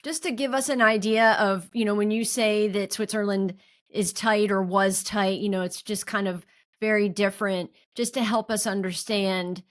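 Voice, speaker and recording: A woman's voice: medium pitch, steady tone, moderate pace. A woman hosting a podcast, reading a guest introduction and asking interview questions. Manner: reads a polished introduction, asks questions. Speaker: podcast host.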